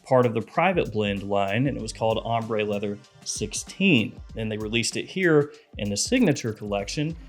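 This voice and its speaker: A man's voice: fairly low pitch, normal speed, hardly any pauses, soft background music. A man in his thirties with a head cold, chatting animatedly as he reviews fragrances in a small room. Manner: chatty, animated, gesturing with hands. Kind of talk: casual video review. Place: small room with lit shelves of bottles.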